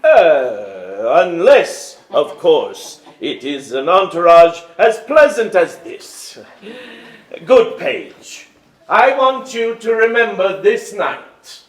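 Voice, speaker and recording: A man's voice: high-pitched, big pitch swings, takes it slowly, exaggerated voice. An actor playing a kindly, weary king in a stage musical reading, speaking formally and earnestly. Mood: warm, solemn. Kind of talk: theatrical stage acting. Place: indoor hall, live staged reading. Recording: roomy live stage pickup.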